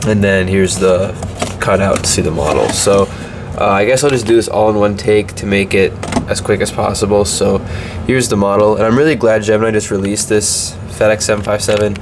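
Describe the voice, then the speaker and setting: A man's voice: lowish voice, steady tone, brisk, hardly any pauses, background noise. A man with a slight cold reviewing a model airplane in a casual, hesitant way, with many ums and restarts.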